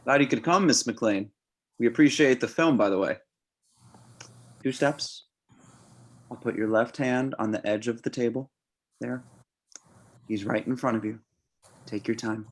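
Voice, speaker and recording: A man playing a courteous, reassuring middle-aged veterinarian in a dramatic table read, guiding gently step by step. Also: mid-range voice, unhurried, long pauses.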